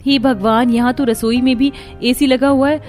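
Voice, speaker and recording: A woman's voice: sits high, steady tone, normal speed, darkish tone, soft background music, lightly acted. A woman voicing an earnest, dutiful young daughter-in-law in a cartoon story. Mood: amazed, delighted.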